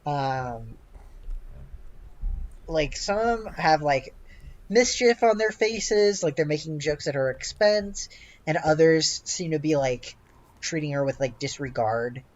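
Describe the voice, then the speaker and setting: A game master improvising narration for a tabletop game, halting and hesitant, with "like" sprinkled throughout. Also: mid-range voice, animated, highs cut off. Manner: halting, hesitant, heavy use of "like".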